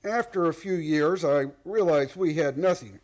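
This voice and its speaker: A man's voice: on the high side, normal speed, a little mellow, lightly acted. An actor playing a proud, domineering, wealthy man in a radio play, blunt, formal and defensive. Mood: confessional.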